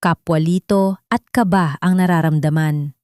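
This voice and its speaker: A woman's voice: lowish voice, fairly even intonation, a little slow, a little mellow, clear, resonant tone, dry recording. Filipino woman narrating a dramatic story, dry recording. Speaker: audiobook narrator.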